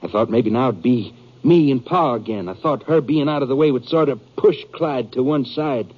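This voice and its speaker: An actor playing a bitter, brooding western outlaw in a 1950s radio drama, speaking in halting, rustic, confessional fashion. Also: male voice, mid-range voice, lightly acted. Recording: dull 1950s radio recording.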